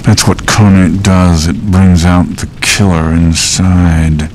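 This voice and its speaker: A man's voice: sits low, level tone, slow pace, darkish tone, a little hiss or hum. An actor voicing a sadistic executioner's spirit in a video game, creepy and intimate, savoring his words and sometimes whispery. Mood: menacing, savoring. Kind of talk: video game villain monologue.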